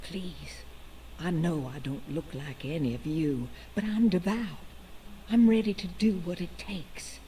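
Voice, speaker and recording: A woman playing a slow, earnest devotee. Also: fairly low pitch, dark tone, natural voice. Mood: pleading. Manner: earnest, pleading, measured. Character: devout, desperate young woman seeking voodoo aid. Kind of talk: game character dialogue. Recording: distant game audio with faint noise.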